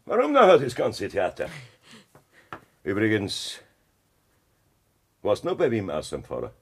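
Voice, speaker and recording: An actor with a raspy voice and Bavarian accent playing a cold, threatening farmer who bullies his family in a radio drama. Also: fairly low pitch, wildly swooping pitch, a little slow, a little hiss or hum, exaggerated voice. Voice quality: raspy, gravelly. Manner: taunting, threatening. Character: cold, menacing farmer bullying his wife and mother. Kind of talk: German dialect radio drama acting.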